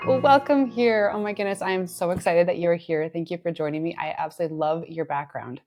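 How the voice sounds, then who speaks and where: A woman's voice: lowish voice, quick pace, darkish tone, faint music behind. A woman hosting a podcast over a video call, effusive and gushing, laughing often. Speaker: podcast host. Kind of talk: warm conversational interview.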